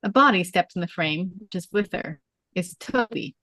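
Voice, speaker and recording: Casting: female stage-directions narrator, lowish voice, clipped storytelling phrases, close dry recording. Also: animated, normal speed, sharp tone, no room sound. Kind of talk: scripted narration of screenplay directions.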